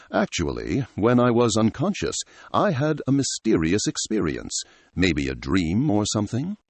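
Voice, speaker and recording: A man's voice: on the low side, darkish tone, husky, dry recording, exaggerated voice. A man voicing a devoted, determined woman doctor in an audio story, earnest and dramatic.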